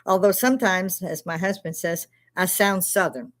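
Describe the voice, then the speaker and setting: An older woman with a Chicago accent tells rambling stories on her live stream. Her voice sits a little low, and she laughs often and swears freely. She keeps it casual and chatty. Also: moderate pace, a bit bright. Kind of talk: casual storytelling chat.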